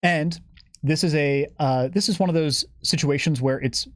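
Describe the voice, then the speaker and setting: A man's voice: a little high, moderate pace, no echo, studio quality. A man speaking as a guest on a live stream, explaining things in a detailed, methodical way. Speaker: guest game developer giving campaign updates.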